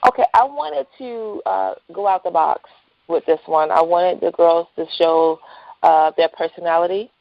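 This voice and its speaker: A woman's voice: on the low side, normal speed, creaky, no room sound. A woman chatting casually as a guest in a phone interview. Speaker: interview guest, writer and entrepreneur. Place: phone call. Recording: dull lo-fi phone line.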